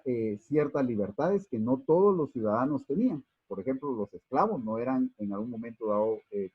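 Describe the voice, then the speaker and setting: A man's voice: medium pitch, husky, no echo, muffled recording. A man from Guatemala, teaching an unscripted Bible study class with many fillers and restarts and calling his listeners brothers.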